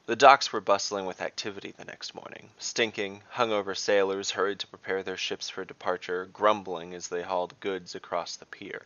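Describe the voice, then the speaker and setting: A man's voice: low-pitched, flat delivery, moderate pace, few pauses, sharp tone, no room sound, muffled recording. A man narrating a scripted fantasy tale as dungeon master, with theatrical, descriptive storytelling.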